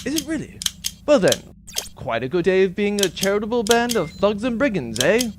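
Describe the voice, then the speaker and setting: A man's voice: sits high, sing-song, moderate pace, background noise. A man playing a jaunty, pompous space adventurer captain in a comedic radio play, with a theatrical, grandiose delivery. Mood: jaunty, grand.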